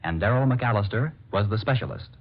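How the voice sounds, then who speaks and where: An American man working as a radio announcer, reading scripted copy in a formal, measured 1940s style. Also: fairly low pitch, animated. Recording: dull lo-fi vintage radio.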